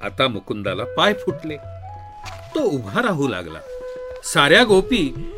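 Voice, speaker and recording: A man's voice: mid-range voice, huge pitch swings, a little slow, music in the background. A man delivers a devotional story as a dramatic discourse, theatrical and emphatic. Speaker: narrator, reader voicing a sage's discourse.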